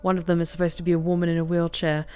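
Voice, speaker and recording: A young woman streamer reading a visual novel aloud, with expressive bursts of excited commentary. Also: fairly low pitch, racing, smooth, faint music behind. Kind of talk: game narration with live reactions.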